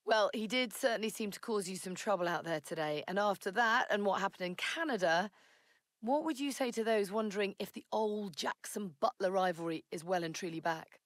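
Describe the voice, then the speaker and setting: Female sports interviewer for a game cutscene, played by a woman: polished, probing, mid-pitched, very dry sound. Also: a little fast, dry recording, lightly acted. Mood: poised, probing. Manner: polished, inquisitive.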